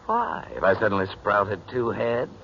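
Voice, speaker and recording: An actor playing a suave, idle playboy with a flippant, sardonic manner in 1940s radio drama style. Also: male voice, fairly low pitch, expressive, moderate pace, gravelly. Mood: cool, sardonic. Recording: dull, noisy 1940s radio recording.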